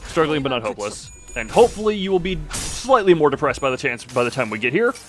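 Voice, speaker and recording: Man, game streamer, casual live commentary, medium pitch, muttered asides and exclamations, music in the background. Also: very animated, fast talker. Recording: music playing behind the voice.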